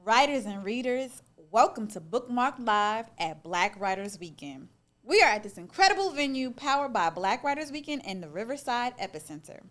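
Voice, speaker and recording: A woman's voice: a little high, sing-song, moderate pace, a bit bright, soft background music. A woman hosting a live interview at an event, introducing and questioning her guest. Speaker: interviewer, host.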